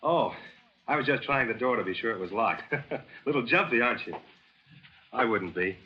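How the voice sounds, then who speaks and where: A man's voice: medium pitch, expressive, moderate pace, slightly rough. An actor playing a brash, cool-headed American newspaperman in a 1940s radio thriller. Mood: casual. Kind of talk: 1940s radio drama acting. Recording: muffled old radio broadcast with loud music.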